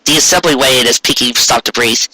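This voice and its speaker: A man reading a story aloud as an expressive narrator. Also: medium pitch, brisk, a little hiss or hum, rough recording. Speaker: narrator, fan fiction reader.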